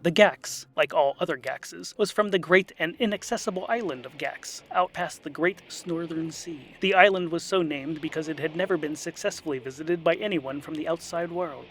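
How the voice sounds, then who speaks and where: A man's voice: a little high, a bit bright, slight background noise. A man narrating a scripted comic fantasy story in a wry, theatrical storyteller's manner.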